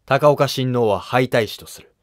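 A man's voice: mid-range voice, normal speed, dry recording, natural voice. Man voicing a stern, commanding emperor, dry sound. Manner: archaic, commanding speech. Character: stern, authoritative Japanese emperor deciding succession. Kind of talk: historical drama animation.

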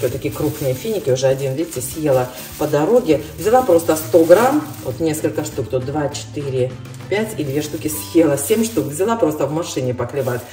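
A woman's voice: low-pitched, far from the mic. A middle-aged woman vlogger from the Russian-speaking world living in Ireland, chatting casually about her grocery haul and calling her viewers "girls". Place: home kitchen. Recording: roomy, from off-mic, soft music.

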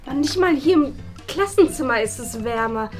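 Grumpy, high-voiced schoolgirl, played by a woman. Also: animated, moderate pace. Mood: grumbling. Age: young adult. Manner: grumbling, slangy, complaining. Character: grumpy, slangy, tough teenage schoolgirl. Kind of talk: comedy sketch acting. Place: indoors, small classroom. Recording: music behind.

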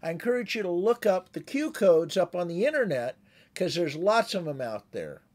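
A man's voice: high-pitched, big pitch swings, moderate pace, a little mellow. A man in his sixties giving a conversational, instructive amateur radio tutorial as the presenter. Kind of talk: explanatory tutorial with demonstrated radio calls.

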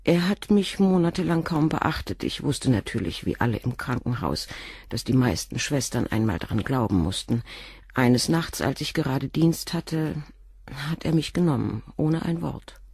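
An actress playing a composed middle-aged doctor's wife and former nurse, speaking frankly and in a measured way in a radio drama. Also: female voice, extremely low pitch, expressive, darkish tone, husky, no echo, studio quality, heavily acted. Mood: candid. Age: 40s. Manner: measured, frank, controlled confession. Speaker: witness questioned by the inspector.

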